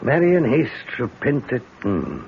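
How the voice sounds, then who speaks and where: An actor with a British accent playing an older, avuncular police inspector, courtly, musing and old-fashioned, in a radio drama. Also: male voice, mid-range voice, animated, takes it slowly. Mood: musing, suspicious. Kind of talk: 1970s radio drama acting. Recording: tinny, noisy 1970s radio recording.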